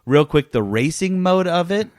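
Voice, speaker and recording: A man's voice: fairly high pitch, lively intonation, normal speed, dry recording, studio quality. A man hosting a casual gaming podcast, telling stories in an enthusiastic, emphatic way. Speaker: podcast host.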